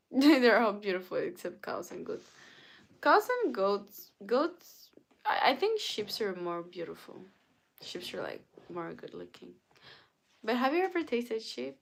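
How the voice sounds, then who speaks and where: A woman with as her hosting a casual livestream, answering viewers' comments and sometimes dropping to a soft whisper. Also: fairly high pitch, very animated, vocal fry. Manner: slow, chatty, often drops to a soft whisper. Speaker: livestream host.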